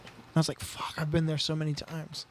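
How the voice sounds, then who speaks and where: A man's voice: on the high side, monotone, brisk, a little hiss or hum. A man in his early thirties on a podcast, quipping and laughing easily. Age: 30s. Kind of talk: casual chat.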